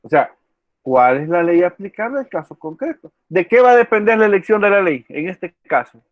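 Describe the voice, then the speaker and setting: A man's voice: sits high, big pitch swings, moderate pace, no room sound. Man lecturing law, dull audio. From El Salvador. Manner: quizzes students by name, repeats key words. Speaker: law professor. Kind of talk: online class lecture.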